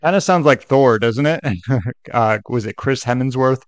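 A man's voice: medium pitch, unhurried, no room sound. Chatty man, dry close sound. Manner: chatty, joking asides. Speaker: tutorial video host. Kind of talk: casual screen-share walkthrough.

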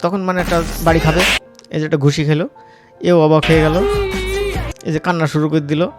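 A man with a Bangladeshi accent giving a casual, informal software tutorial as an instructor, mixing in English words. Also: a little high, little pitch movement, a little fast, loud music behind the voice.

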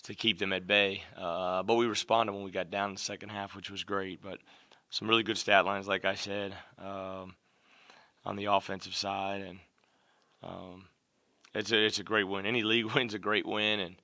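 A middle-aged man, a basketball coach, answering questions at a postgame press conference from behind a desk mic, measured and full of "um" and "you know". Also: low-pitched, flat delivery, creaky, sharp tone, dry recording. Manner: measured, lots of "um" and "you know", repeats phrases. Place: press room, seated at a table before a logo backdrop. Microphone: desk mic on a stand in front of him.

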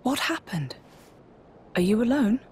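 A woman's voice: a little high, big pitch swings, measured pace, a little hiss or hum. A woman playing a kindly, reassuring young warrior in a video game cutscene. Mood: gentle concern.

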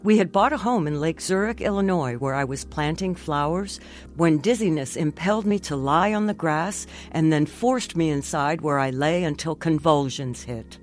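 An actress as an earnest young American stroke survivor. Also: female voice, deep voice, moderate pace, soft background music, lightly acted. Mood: reflective. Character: young married stroke survivor telling her story, earnest and reflective. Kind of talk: dramatized first-person testimony, radio drama narration.

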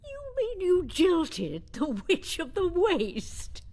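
An actress playing a blunt, nosy, sharp-tongued old woman in a radio drama. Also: very high-pitched, wildly swooping pitch, slow pace, full character voice. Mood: scornful, probing.

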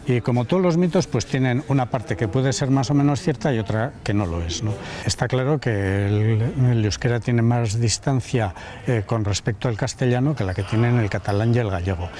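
A middle-aged man with an average-pitched voice talks things through thoughtfully, as if explaining to a friend. He is recorded outdoors on a town street with a lapel mic. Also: mellow. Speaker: interviewee, language learner. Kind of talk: casual interview answers.

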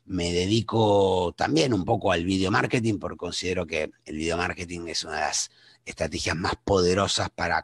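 A man in his forties gives an online seminar presentation in a low, gravelly voice with a husky edge. He talks conversationally, with fillers and restarts. The sound is dry and close. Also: fairly bright tone, dry recording. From Argentina. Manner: conversational, self-introducing, many fillers and restarts. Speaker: webinar presenter.

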